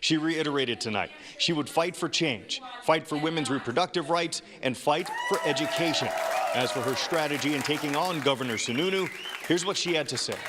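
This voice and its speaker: Crisp young male TV news reporter. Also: a little high, a crowd in the background. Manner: crisp, measured newscast delivery.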